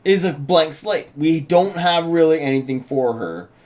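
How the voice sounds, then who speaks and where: A man's voice: on the high side, animated, unhurried, a little mellow. A man co-hosting an unscripted writers' chat, interjecting and restarting his sentences as he insists on his points. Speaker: co-host and writer introducing a script read. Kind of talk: casual unscripted discussion.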